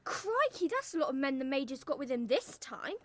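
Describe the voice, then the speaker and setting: Very high, excitable village girl played by a young woman. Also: brisk. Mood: curious. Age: teens. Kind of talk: radio play acting.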